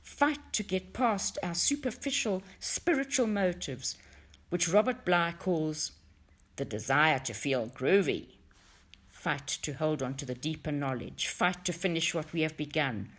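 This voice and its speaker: A woman reading a book aloud as narrator, with expressive, deliberate emphasis. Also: low-pitched. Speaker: audiobook reader for a study group.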